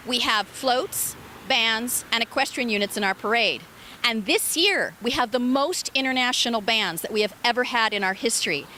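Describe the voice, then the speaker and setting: A middle-aged woman from Argentina speaks with a bright, clear voice in a recorded address. She sounds earnest and proud and slows down on her key phrases. Faint background noise sits under her voice. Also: a little high. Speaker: parade association president.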